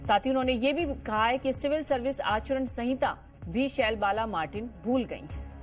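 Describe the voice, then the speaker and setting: A woman's voice: on the high side, moderate pace, faint music behind. A woman anchoring television news, restating her points with emphasis as she reports.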